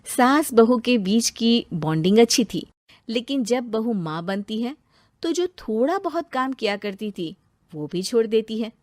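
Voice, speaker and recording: A woman's voice: a little high, animated, measured pace, a little mellow, no room sound. Lady narrator telling a moral story, scripted read, dry close recording.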